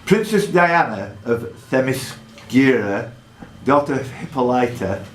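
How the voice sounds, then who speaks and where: A man hosting a live quiz in a pub, reading questions out deliberately and adding jokey asides. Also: medium pitch, expressive. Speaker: quizmaster. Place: indoor pub. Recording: echoey pub room with background noise.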